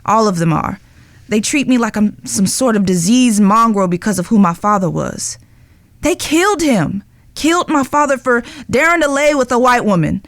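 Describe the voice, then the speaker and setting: A woman playing a guilt-ridden, lovestruck young outlaw spy in an audio drama, speaking earnestly and emotionally with pauses. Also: fairly high pitch, animated, no echo. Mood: bitter, pained.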